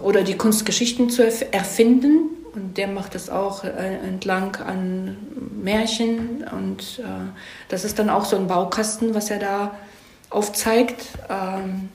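A woman's voice: mid-range voice, gravelly, a little mellow. A woman explaining things informally in an interview, often checking with little tag questions as she goes. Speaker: screenwriter being interviewed. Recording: roomy, echoing room sound.

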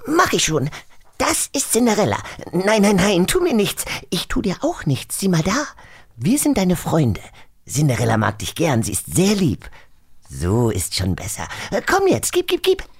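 An actor voicing a small excitable cartoon mouse in an audio play, chattering in simple, repetitive rhymes with a squeaky, husky voice. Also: moderate pace, exaggerated voice. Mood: coaxing. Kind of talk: animated film dubbing in an audio play.

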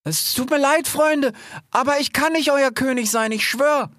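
A man's voice: extremely high pitch, moderate pace, fairly bright tone, no echo, clean studio sound, voice pushed up. A man voicing a small, earnest, hesitant animal in a storybook, stammering and ending sentences with questioning tags. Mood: apologetic, hesitant.

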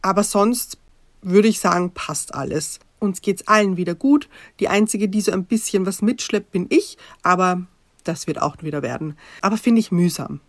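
A woman's voice: mid-range voice, moderate pace, no echo. A woman co-hosting a podcast with a stuffy nose from a cold, chatting casually and telling a story. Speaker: podcast co-host.

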